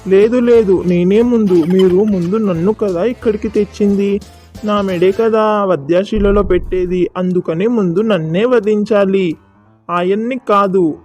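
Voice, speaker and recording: A man plays an eager storybook disciple or royal minister with a very high, soft-toned voice. The delivery is theatrical and insistent. Faint music runs underneath. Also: a little slow. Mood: frantic, insistent. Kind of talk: dramatised children's folk tale.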